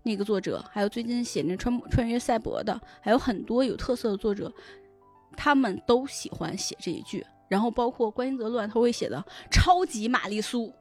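A woman speaking as a podcast guest in casual chat, explaining things in an animated way with frequent check-in questions. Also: on the high side, fairly quick, soft background music. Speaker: guest, novel recommender and editor.

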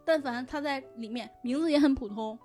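Woman, high voice, casual guest chat, animated explainer, frequent check-in tags, music behind. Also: brisk. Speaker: guest, novel recommender and editor.

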